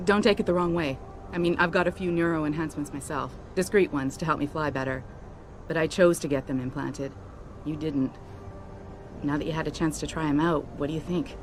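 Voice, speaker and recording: An actress playing a confident, friendly young company pilot in a video game, speaking casually and frankly. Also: female voice, low-pitched, steady tone, brisk, faint music behind, natural voice. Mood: candid, supportive.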